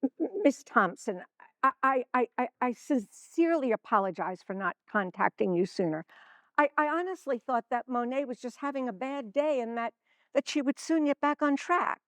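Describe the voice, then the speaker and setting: A woman playing an earnest, flustered schoolteacher in a stage play, speaking diplomatically with halting repetitions. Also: high-pitched, lively intonation. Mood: apologetic, flustered. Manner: hesitant, stammering, diplomatic.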